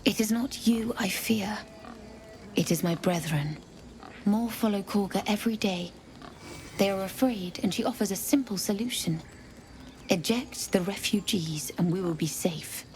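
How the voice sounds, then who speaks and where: A woman's voice: mid-range voice, unhurried, a little mellow, faint music behind, natural voice. An actress playing a worried druid woman in a video game, guarded, formal and earnest. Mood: grave, fearful. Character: worried druid woman fearing for her people.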